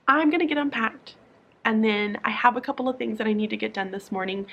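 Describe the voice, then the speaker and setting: A woman's voice: medium pitch, fairly bright tone, slight background noise. A young woman teacher vlogging from her classroom in a sleepy morning voice, talking casually to camera with lots of fillers and restarts.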